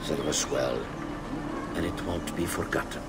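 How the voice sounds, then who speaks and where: An actor playing a commanding, menacing middle-aged master conspirator with an Italian accent, speaking deliberately in a dramatic game cutscene. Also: male voice, a bass voice, steady tone, over loud music. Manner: imperious, deliberate.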